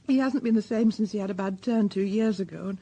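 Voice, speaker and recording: Bereaved middle-aged woman, worried, worn out, mellow husky voice, radio drama. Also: medium pitch, quick pace. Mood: anxious, subdued. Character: worried, haggard middle-aged daughter of a murdered man.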